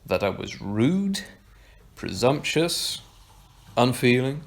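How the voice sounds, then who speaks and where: A man's voice: mid-range voice, big pitch swings, slow pace, vocal fry, exaggerated voice. A man playing an awkward, defensive young man in an audio drama, hesitant and stammering, with dry sarcasm. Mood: sarcastic, defensive.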